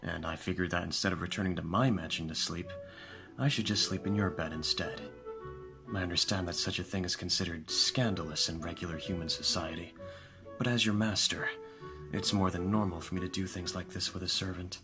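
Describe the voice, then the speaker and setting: A man playing a composed vampire lord in an audio roleplay, speaking formally and with calm authority to a servant. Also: sits low, a little fast. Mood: calm, teasing. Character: lordly young-sounding male vampire master, calm and commanding. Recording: music behind the voice. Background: music.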